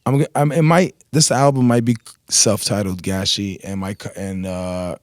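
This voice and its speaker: A man whose first language is Arabic, a rapper guest telling stories in a relaxed, rambling way with slang, on a studio mic in a radio studio. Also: lowish voice, normal speed, dry recording. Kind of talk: casual interview chat.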